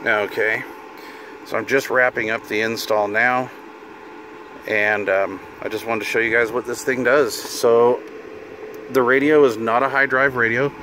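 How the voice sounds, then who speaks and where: A man's voice: mid-range voice, steady tone, measured pace, sharp tone, poor audio. A middle-aged man giving a casual hands-on demonstration as a hobbyist video host, informal and half talking to himself. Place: inside a parked vehicle. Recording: noticeable car and fan noise.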